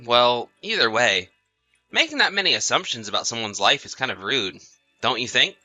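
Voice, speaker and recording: A man's voice: medium pitch, sing-song, moderate pace, pushed and bright, soft background music. A man playing an easygoing, sociable husband in chatty, casual audio drama dialogue. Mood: easygoing, animated. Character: easygoing husband, sociable, placating, mildly defensive.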